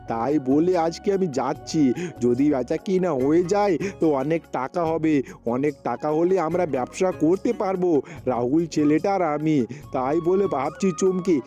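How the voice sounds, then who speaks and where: A man's voice: a little high, warm tone, natural voice. A man playing an earnest, poor village father who sells fruit, in a children's cartoon, speaking in simple repeated phrases. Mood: earnest, hopeful. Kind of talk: amateur Bengali cartoon dialogue.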